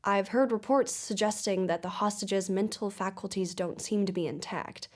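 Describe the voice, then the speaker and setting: A woman's voice: mid-range voice, normal speed, lightly acted. Lady playing a mystery show host in an audio drama, mid-range voice, polished and probing. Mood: inquisitive, formal. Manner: polished, inquisitive, formal. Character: probing female investigative mystery podcast host. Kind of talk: audio-drama broadcast interview and narration.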